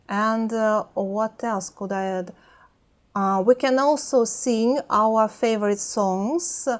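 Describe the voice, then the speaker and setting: A woman with a Russian accent talking in a reflective personal vlog, hesitant, with many pauses and fillers. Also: medium pitch. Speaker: language-learning vlogger.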